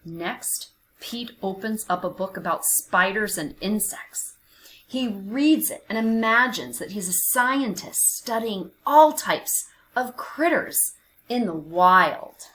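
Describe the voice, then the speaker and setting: A woman narrating a picture book for young children, expressive and deliberate, with dramatic emphasis and hushed phrases. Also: mid-range voice, low-quality recording. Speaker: children's read-aloud narrator.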